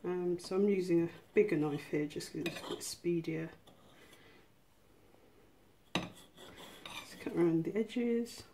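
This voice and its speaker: A woman's voice: on the low side, slow, pauses often, dark tone, a little hiss or hum. A woman hosting a casual home cooking tutorial, explaining each step in an informal, chatty way. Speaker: host of a miniature cooking channel.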